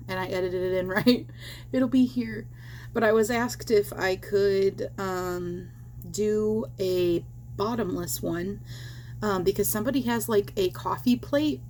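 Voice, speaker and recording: Woman, slightly hoarse, rambling casual crochet chat, home recording, faint hum. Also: mid-range voice, a little mellow. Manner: rambling and chatty, with many fillers and little laughs. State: slightly hoarse, losing her voice. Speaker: crochet vlogger.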